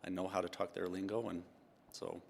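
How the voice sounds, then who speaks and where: A man in his fifties from Utah speaks in a very low, gravelly voice. He answers questions as a guest, unhurried, full of ums and restarts, with a dry, self-mocking wit. A faint noise sits under the recording. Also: flat delivery, a little mellow. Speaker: tax partner and guest. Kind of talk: relaxed interview answers.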